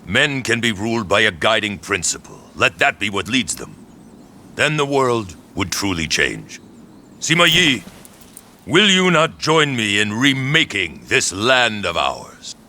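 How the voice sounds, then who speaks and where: A commanding visionary warlord, low and intensely forceful. His delivery is grand and assured, with lively rises and falls, in video game cutscene style. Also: measured pace, slight background noise, full character voice. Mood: grand, persuasive. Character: commanding, visionary male warlord of ancient China.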